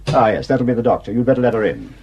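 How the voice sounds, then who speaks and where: An actor playing a shrewd, sardonic police superintendent in a radio play, dry and probing in manner. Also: male voice, medium pitch, sing-song, rapid-fire, a little mellow, faint music behind.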